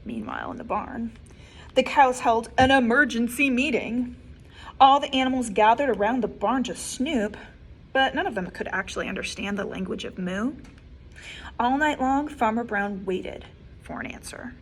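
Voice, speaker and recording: A woman librarian reading a picture book aloud to children, expressive and animated, with soft whispered asides. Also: on the high side, moderate pace, husky, low-quality recording. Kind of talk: children's story time read-aloud.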